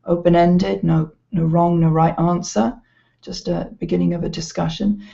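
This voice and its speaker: Lady presenter doing a casual walkthrough, kinda halting, lowish and creaky. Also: flat delivery, warm tone. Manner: hesitant, halting delivery. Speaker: educational presenter. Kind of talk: informal product walkthrough. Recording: slightly dull, lo-fi sound.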